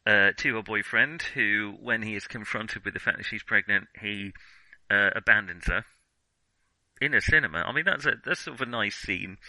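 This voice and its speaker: Bright-voiced man, hesitant and low. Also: normal speed, dry recording, low-quality recording. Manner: hesitant, self-correcting, thinking aloud. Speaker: film discussion podcast co-host. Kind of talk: casual film discussion.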